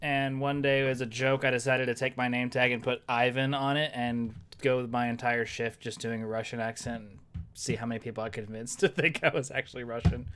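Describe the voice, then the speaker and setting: A man telling excited stories to friends at a tabletop game. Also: mid-range voice, brisk, clear, resonant tone. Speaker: player. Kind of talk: casual chat at a tabletop game.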